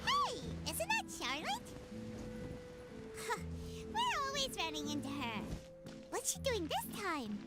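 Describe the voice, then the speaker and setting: Squeaky, tiny excitable fairy girl. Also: slow, pauses often, loud music behind the voice, heavily acted. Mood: excited, curious. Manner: refers to self in third person, exclaiming. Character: tiny excitable fairy companion girl, childlike and food-loving. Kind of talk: video game voice acting.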